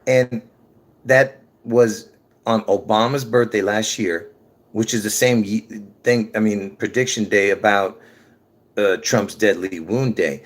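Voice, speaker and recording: A man's voice: fairly low pitch, steady tone, slow. Rambling man talking to his viewers. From Lebanon. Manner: rambling, restarts often, addresses viewers directly. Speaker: conspiracy video creator. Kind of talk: rambling casual monologue to camera.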